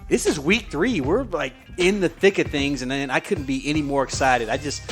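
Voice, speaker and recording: A man's voice: fairly high pitch, normal speed, faint music behind. A man co-hosting a sports podcast, chatting casually in a folksy, colloquial, enthusiastic way. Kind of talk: casual sports chat and analysis.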